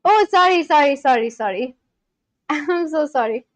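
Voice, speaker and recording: A woman's voice: sits high, animated, moderate pace, no room sound. A woman from India, chatting casually on a live stream at home and answering her chat aloud. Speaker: language-learning livestreamer. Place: at home at a laptop.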